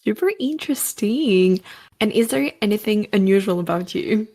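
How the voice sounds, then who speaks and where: A woman hosting a podcast interview, friendly and upbeat, reacting with quick short affirmations. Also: mid-range voice, lively intonation, warm tone. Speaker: podcast host. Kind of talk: casual interview conversation.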